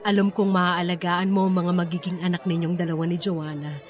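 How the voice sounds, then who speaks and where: A woman's voice: fairly low pitch, level tone, brisk, natural voice. An actress playing a proud, affectionate older mother with a Filipino accent in a radio drama. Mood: tender, teasing.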